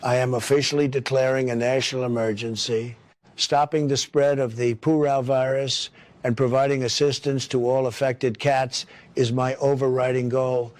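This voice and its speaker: A man's voice: medium pitch, level tone, moderate pace, a little mellow, lightly acted. Man acting a pompous, boastful cat politician. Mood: solemn, self-important. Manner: pompous, repetitive political oratory. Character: boastful, paranoid male cat demagogue giving political speeches. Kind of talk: satirical speech in an audio story.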